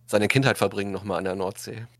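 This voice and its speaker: A man's voice: on the low side, steady tone, quick pace, fairly bright tone, dry recording. A man hosting a casual chat as the interviewer. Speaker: interviewer, host.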